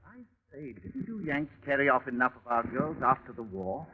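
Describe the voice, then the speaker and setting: Jovial English inspector, animated and hesitant, old-fashioned radio drama, tinny noisy recording. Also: male voice, medium pitch, moderate pace. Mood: flustered, jovial. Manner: hemming and hawing, old-fashioned British phrasing. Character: jovial, hemming English police inspector, male. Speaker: police inspector. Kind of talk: 1940s radio drama acting.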